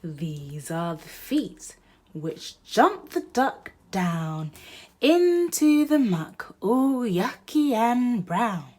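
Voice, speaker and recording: A woman reading a children's storybook aloud in an expressive, sing-song way, addressing young children. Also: a little high, very slow. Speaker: mother and presenter of a children's read-aloud channel.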